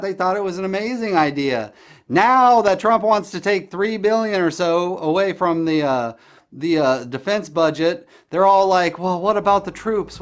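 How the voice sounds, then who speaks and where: Man with a clear, slightly high voice. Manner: blunt, opinionated, dismissive. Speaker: podcast host discussing current events. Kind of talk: casual political chat over the phone.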